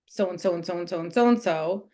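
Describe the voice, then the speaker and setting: A woman's voice: lowish voice, fast, darkish tone. A woman co-hosting a podcast, talking casually and openly about herself in a reflective way. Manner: candid, self-reflective. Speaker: podcast co-host. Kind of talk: casual personal chat.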